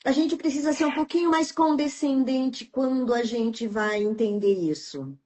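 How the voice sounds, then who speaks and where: Woman, podcast host, high-pitched, reflective, conversational. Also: normal speed, a little mellow. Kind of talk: conversational interview.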